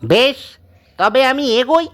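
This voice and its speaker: A man's voice: very high-pitched, expressive, slow, fairly bright tone, heavily acted. A man playing an obliging, rustic village van driver in an audio drama. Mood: helpful. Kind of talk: Bengali audio story acting.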